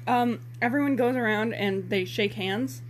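A woman's voice: on the high side, moderate pace, a little hiss or hum, poor audio. A teenage girl in casual comedic chat, giggly and exclamatory, rambling along in slang. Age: teenager, about sixteen. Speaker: podcast co-host reacting and reading dialogue.

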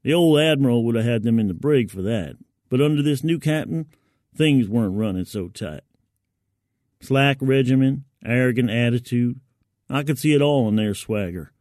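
A man reads dramatic first-person fiction in a medium-pitched voice. His delivery is colloquial and gritty, as if living the tale. The sound is very dry, with no room to it. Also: expressive, moderate pace, darkish tone, no echo. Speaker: narrator.

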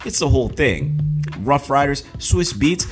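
A man's voice: medium pitch, very animated, a little slow, music in the background. A man in his forties co-hosting a casual podcast, rambling loosely with lots of "like" and swearing. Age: 40s. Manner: rambling, loose and profane, heavy on "like". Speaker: podcast co-host.

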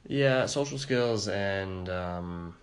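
Soft-spoken, low-voiced introverted man. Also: very slow. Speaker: podcast co-host. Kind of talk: casual storytelling chat.

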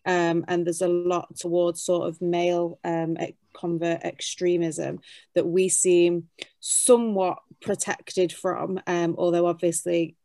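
A woman with a fairly low, flat-toned voice, recorded dry. She poses questions in an informal discussion and picks her words with care. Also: slow pace, no room sound. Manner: careful, hedged phrasing. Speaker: panel questioner.

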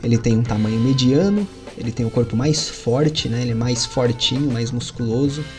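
A Brazilian man narrates a tabletop game as its game master, in a mellow, relaxed voice of middle pitch. He tells the scene with animated jumps in pitch, and faint music plays behind him. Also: normal speed. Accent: Brazilian Portuguese. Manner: animated storytelling with sudden lifts in pitch.

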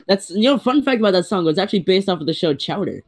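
Higher-voiced young man, playful and boastful. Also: lively intonation, racing. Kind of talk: casual chat with friends.

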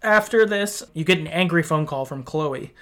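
Casting: man with a higher-than-average voice, casual podcast host retelling a story, conversational and tentative. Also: moderate pace, fairly bright tone. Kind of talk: casual podcast discussion, recapping a game's story.

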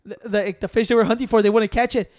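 A man's voice: sits high, fast, no echo, clean studio sound. Laid-back man. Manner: relaxed storytelling, profane, drops Spanish words. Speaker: podcast co-host. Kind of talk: casual chat.

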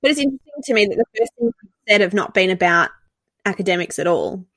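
A woman's voice: medium pitch, lively intonation, fast talker, fairly bright tone, no echo. A woman hosting a casual interview, listening closely and affirming her guest. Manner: attentive, affirming, frequent short backchannels. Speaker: interview host.

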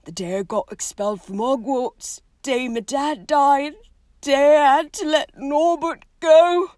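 A woman voicing a big, rough-spoken, soft-hearted and despairing gamekeeper man in an audiobook, with drawn-out, emotional speech. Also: high-pitched, no echo, studio quality, pitched above the performer's own voice. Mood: haunted, emotional. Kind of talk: audiobook character voice.

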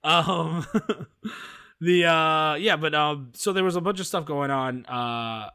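A man's voice: fairly high pitch, takes it slowly, pushed and bright, smooth. A man co-hosting a podcast, talking casually about films and often searching for his words. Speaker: podcast co-host. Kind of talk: casual film discussion.

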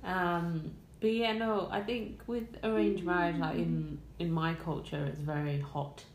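A woman chats in an easygoing way on a podcast. Her voice is on the lower side. The room sounds echoey, with a faint background noise. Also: fairly even intonation, a little slow. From England. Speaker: podcast co-host. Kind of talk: casual chat among friends.

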